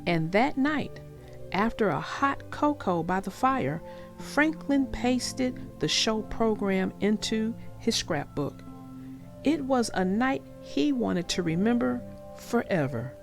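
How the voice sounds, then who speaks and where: A woman storyteller speaks to young listeners with an expressive, reassuring delivery. Her voice sits fairly high and moves widely in pitch, while she takes her time over the words. She addresses the children directly, as a read-aloud narrator does. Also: slow, creaky, faint music behind.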